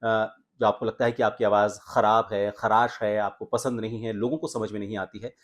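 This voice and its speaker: एक आदमी जो आवाज़ सुधारने का पाठ पढ़ाता है, हमिंग की आवाज़ें करके दिखाता है और हिंदी लहजे में बोलता है।. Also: male voice, fairly low pitch, little pitch movement, fairly quick. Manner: explanatory, demonstrates humming sounds. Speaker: voice coach and anchor. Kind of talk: voice training lesson.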